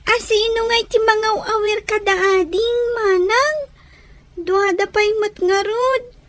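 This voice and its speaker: Woman acting an extremely high, plaintive Filipino schoolgirl. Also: fairly even intonation, unhurried, a bit bright, no echo. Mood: worried. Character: anxious, plaintive schoolgirl, younger sister of the family. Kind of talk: Filipino radio drama acting.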